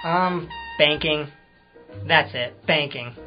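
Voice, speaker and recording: An actor playing an evasive, touchy ex-convict in an old-time crime radio drama, speaking in a hesitant, defensive way. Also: male voice, fairly high pitch, takes it slowly. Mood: awkward, evasive. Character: evasive, touchy male ex-convict confessing to a detective. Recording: muffled amateur radio-drama recording with music.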